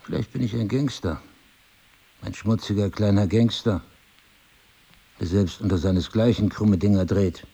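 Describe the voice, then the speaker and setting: A brooding husband in an intimate audio drama. His voice is low, dark and husky. He speaks articulately, with a probing, sardonic edge, as a suspicious writer who has lost his memory. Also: normal speed, long pauses. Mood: suspicious, agitated. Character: brooding amnesiac husband, a sharp-witted, suspicious crime writer.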